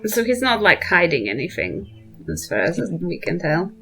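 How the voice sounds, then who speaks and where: A woman's voice: fairly low pitch, sing-song, normal speed, fairly bright tone, faint music behind, poor audio. A woman chatting casually as a player in a tabletop roleplaying game.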